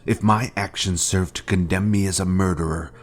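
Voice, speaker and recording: An actor playing a menacing fallen Jedi master in a video game, speaking in a solemn, pompous, formal way as a cold former mentor. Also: male voice, sits low, steady tone, moderate pace. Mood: cold, certain. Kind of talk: scripted video game villain dialogue.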